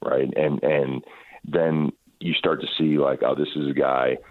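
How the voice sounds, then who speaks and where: A very deep-voiced man heard over a narrow, muffled phone line. He speaks as a coach being interviewed, thoughtful and halting, doubling back on his words. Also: fairly even intonation, dry recording. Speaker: professional soccer head coach. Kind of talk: radio interview answers.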